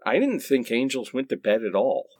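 A man's voice: mid-range voice, very animated, moderate pace, a little mellow, slightly rough, dry recording, clean studio sound. A man playing a sarcastic, bewildered sitcom character in a comic radio play, with a wry, quipping manner. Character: sardonic married sitcom man, bewildered and quick to quip.

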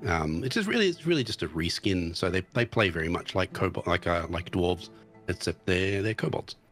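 A man's voice: low-pitched, expressive, fairly quick, fairly bright tone, faint music behind. A man guest streamer chatting casually about his game, hesitant with restarts, recovering from tonsillitis. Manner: hesitant, many restarts and ums.

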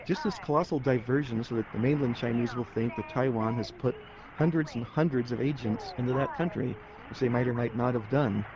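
A man's voice: medium pitch, a little fast. A man chatting as an interviewee in a wry, colloquial way, in a muffled recording. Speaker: interviewee, writer. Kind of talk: casual interview talk. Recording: muffled, with crowd-like noise behind.

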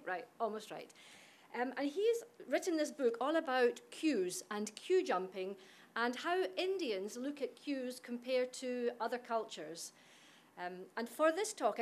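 Scottish woman chatting from a podium. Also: fairly high pitch. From Scotland. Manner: chatty, engages the audience directly. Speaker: university international officer. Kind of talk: conference presentation. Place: conference hall, at a podium. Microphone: podium mic.

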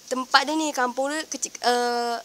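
A woman's voice: high voice, steady tone, measured pace, pushed and bright. A young woman with a Riau Malay accent, answering a street interview in a shy, hesitant way, speaking into a handheld mic outdoors. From Lingga, Riau Islands, Indonesia. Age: young adult. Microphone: handheld mic held by interviewer. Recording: light outdoor background noise.

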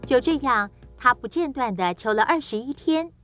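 Higher-voiced woman narrating a children's tale. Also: lively intonation, moderate pace. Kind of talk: scripted children's storybook narration. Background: music.

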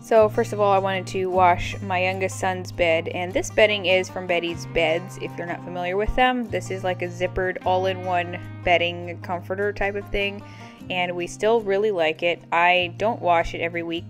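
Casting: American woman, thirties, slightly low voice, informal homemaking vlog voice-over with music behind. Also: fairly even intonation, normal speed. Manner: chatty, informal. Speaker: homemaking vlogger. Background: background music.

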